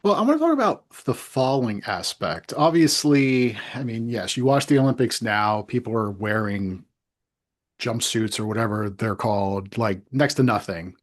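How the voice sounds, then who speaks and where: A man interviewing in a loose, casual way, building questions haltingly as he goes. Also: on the low side, animated.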